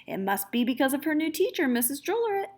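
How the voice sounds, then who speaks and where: A puzzled mouse parent from a picture book, voiced by a woman, chats in a bemused way. The voice is fairly high and swings up and down freely. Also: sing-song, fast talker, darkish tone. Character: puzzled mouse parents discussing their daughter.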